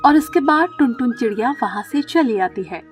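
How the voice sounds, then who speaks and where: Casting: female narrator for kids' stories, high register, scripted storytelling read over soft music. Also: lively intonation, moderate pace.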